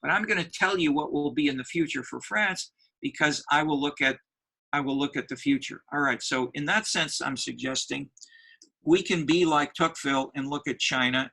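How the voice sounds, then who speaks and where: A man lectures a university class in a gravelly, bright voice. He halts often and repeats words as he restarts his sentences, thinking aloud as he goes. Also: mid-range voice. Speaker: university professor.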